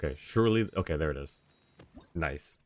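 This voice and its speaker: A man's voice: very deep voice, lively intonation, fairly quick. A man casually commentating on a game live and chatting with viewers in an easygoing, half-muttering way.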